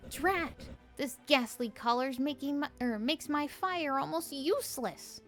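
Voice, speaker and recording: A woman's voice: high voice, measured pace, fairly bright tone, faint music behind, pitched above the performer's own voice. A woman voicing a proud, arrogant dragon in video game dialogue, with a boastful, threatening manner. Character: haughty, proud dragon who lost its powers.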